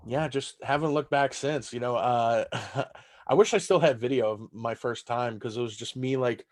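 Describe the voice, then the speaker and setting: A man's voice: mid-range voice, moderate pace. Man, thirties, from texas, german first language, loose rambling podcast talk, casual swearing. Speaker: stand-up comedian guest.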